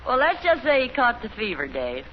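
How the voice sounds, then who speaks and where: Knowing American sitcom mom; high, animated voice, vintage radio sound. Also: female voice, brisk. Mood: knowing, affectionate. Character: pleasant, knowing suburban mother. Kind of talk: 1950s radio sitcom acting. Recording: muffled 1950s radio recording, faint noise.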